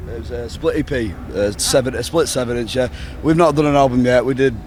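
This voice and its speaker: A man's voice: medium pitch, brisk, darkish tone. A man in his twenties with a Northern English accent, chatting casually in an interview in a rambling, sweary way. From England. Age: 20s. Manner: sweary, rambling, peppered with "you know, like". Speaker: band member being interviewed. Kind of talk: casual chat. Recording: outdoor recording with urban ambient noise.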